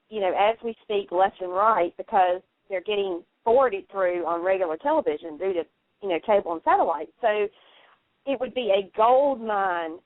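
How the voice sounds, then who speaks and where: A woman's voice: medium pitch, no echo. A woman chatting casually on a radio talk show, effusive and opinionated, talking at length over a phone line. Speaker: radio show panelist and soap fan. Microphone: telephone call. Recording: narrow, tinny phone line.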